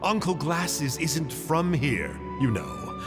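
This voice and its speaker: An actor playing a sly, glib stranger in a video game, teasing in a drawl. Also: male voice, a little high, very animated, slow, big-room sound, music in the background. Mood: breezy, sly.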